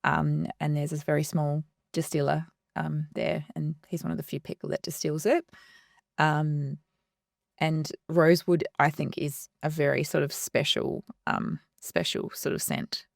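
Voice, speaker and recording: A woman, a perfumer, talking softly and hesitantly as a guest in a casual interview, with many fillers. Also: low voice, little pitch movement, measured pace, creaky, dry recording, studio quality.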